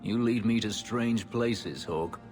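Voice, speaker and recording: Here is a stern warrior from a video game, a man of few words. His low voice is solemn and deliberate, loyal underneath. It carries a long reverb with music behind it. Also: darkish tone. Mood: solemn, sincere. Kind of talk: dramatic video game cutscene acting.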